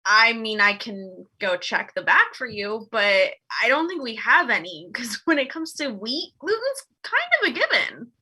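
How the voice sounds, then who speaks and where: A woman's voice: a little high, very animated, a little slow, few pauses, pushed and bright, slightly rough, exaggerated voice. A woman playing an awkward, accommodating store worker in a comedy table read, hesitant, casual and bemused. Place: remote virtual table read.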